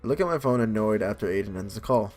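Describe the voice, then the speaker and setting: A man's voice: on the low side, animated, fast, darkish tone, soft background music. A man hosting a gaming video, reading game text aloud and adding casual commentary.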